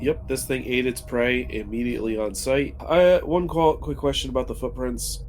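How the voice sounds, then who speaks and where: A man with an average-pitched voice plays in a tabletop game. His talk is casual and conversational. Some noise sits behind him on the recording. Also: fairly even intonation, moderate pace, darkish tone.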